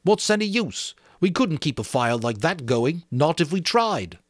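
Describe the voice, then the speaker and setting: A man performs a frantic, whiny schoolboy from an adventure storybook. The voice is pushed high and swings around as he protests, indignant and defensive. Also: big pitch swings, measured pace, dry recording, studio quality. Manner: howling, muttering protests.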